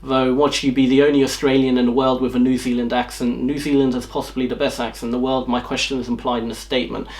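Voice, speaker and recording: A man's voice: mid-range voice, level tone, quick pace, few pauses. English man, rambling with a slight slur. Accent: English, from near London. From England, north of London. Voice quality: slight slur from a jaw impediment. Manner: rambling, lots of ums and restarts. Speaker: YouTube channel host. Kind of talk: casual Q&A chat to camera.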